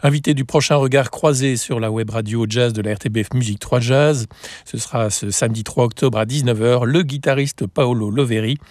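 A man's voice: mid-range voice, fairly even intonation, fairly quick, darkish tone, dry recording, studio quality. A man presenting on a jazz radio station, reading out track credits and an upcoming guest. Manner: smooth, read announcement. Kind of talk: station announcement.

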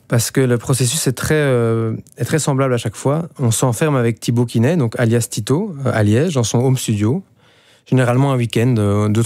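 Mellow-voiced Belgian man, hesitant. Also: medium pitch, no echo, studio quality. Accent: Belgian French. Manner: hesitant, many fillers and restarts. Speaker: musician guest. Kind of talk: radio interview.

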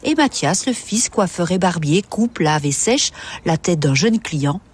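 A woman's voice: lowish voice, expressive, unhurried, slightly rough. A woman reporter reading scripted documentary narration. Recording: music under the narration.

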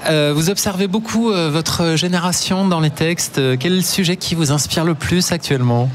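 A man hosting a radio interview, relaxed, interjecting often with fillers. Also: on the high side, normal speed, a little hiss or hum. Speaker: radio host. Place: radio broadcast building, Brussels. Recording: music under the voice.

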